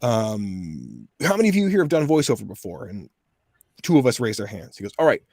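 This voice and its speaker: A man's voice: lowish voice, fairly quick, creaky, husky, no room sound, studio quality. A man in his thirties, a voice actor telling stories in a casual interview and acting out the voices he quotes. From USA. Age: 30s. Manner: animated storyteller, acts out quoted voices. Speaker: voice actor guest.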